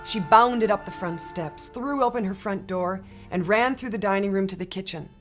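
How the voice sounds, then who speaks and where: A woman reading a children's picture book aloud in a gentle, expressive storytelling manner. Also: medium pitch, moderate pace. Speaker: storybook reader. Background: soft guitar music.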